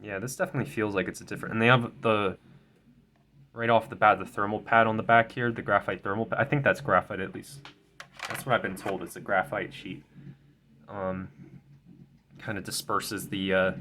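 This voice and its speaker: A man's voice: on the low side, level tone, brisk, pushed and bright, a little hiss or hum. A young man, tired and a bit stuffy, chatting casually and rambling with many restarts while unboxing a phone at his desk. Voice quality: slightly nasal. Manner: rambling, self-correcting, many ums and stumbles. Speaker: tech video creator. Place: desk at home, indoors.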